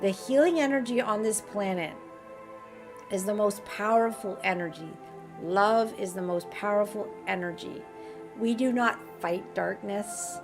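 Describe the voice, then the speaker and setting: A woman with a raspy, gravelly voice guides a live healing session in a relaxed, conversational way. Her pitch sits in an ordinary middle range, and her tone stays gentle and reassuring. Soft music plays faintly behind her. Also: a little slow. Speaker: spiritual healer hosting a live group healing call. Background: faint background music.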